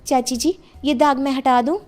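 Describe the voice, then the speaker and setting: A woman's voice: sits high, steady tone, normal speed, close to an everyday voice. A woman playing a humble young village daughter-in-law in a cartoon story, deferential and eager to help. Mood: eager, reassuring. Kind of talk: animated moral-story dialogue.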